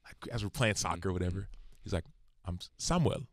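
A man's voice: sits low, expressive, brisk, dry recording, clean studio sound. A man in his thirties from the United States, a podcast guest telling personal stories earnestly and acting out quoted dialogue. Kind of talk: casual conversation.

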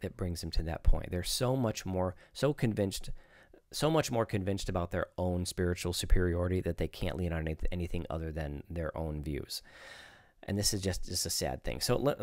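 A man in his forties with a low voice explains scripture informally, as a host speaking to camera. He is conversational and opinionated. The sound is dry and close, from a studio mic in a small room. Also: darkish tone, dry recording. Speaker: host, Bible teacher. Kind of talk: informal Bible teaching to camera. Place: small home studio, indoors. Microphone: close studio microphone on desk.

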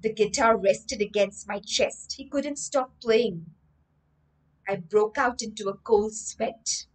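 A woman's voice: medium pitch, slow, rough recording. A young woman, reading a story aloud on a livestream and explaining vocabulary expressively, in a room at home. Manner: expressive, emphatic reading with frequent word explanations. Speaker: teacher, storyteller. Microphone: webcam framing, livestream.

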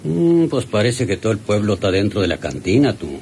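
A brash rustic Mexican bandit in an old radio serial. His folksy, dismissive delivery swings widely in pitch over a faintly noisy recording. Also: big pitch swings, fairly quick, a little mellow. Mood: coaxing. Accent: rural Mexican. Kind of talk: old Spanish-language radio serial acting.

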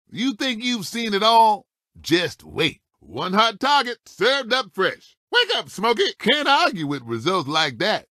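An actor playing a feisty old talking race car in a video game, a folksy, cocky veteran racer firing off exclamatory one-liners. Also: male voice, sits high, bright, forceful tone, dry recording, studio quality, full character voice. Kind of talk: animated video game character voice clips.

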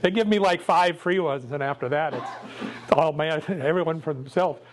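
An older man with a loud voice gives a casual, jokey talk to a club meeting, speaking without a microphone. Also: fairly high pitch, moderate pace. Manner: jokey, self-deprecating, chatty with laughs. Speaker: guest speaker.

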